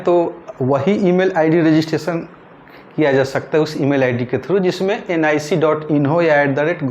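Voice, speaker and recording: A man teaching a patient, step-by-step lesson, his voice sitting a little higher than most men's. He checks in often with a quick "okay" and moves through each point carefully. A faint hiss runs under the recording. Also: a little mellow. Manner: patient, repeats points with frequent "ठीक है". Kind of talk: screen-recorded tutorial lesson.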